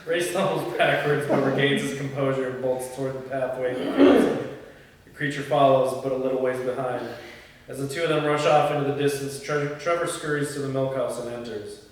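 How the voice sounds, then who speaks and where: A man's voice: medium pitch, moderate pace. Echoey, distant man reading a script. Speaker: stage-direction reader at a table read. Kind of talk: scripted narration and casual discussion at a script table read. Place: indoor room, reverberant, mic at a distance. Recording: echoey room from far off, faint noise.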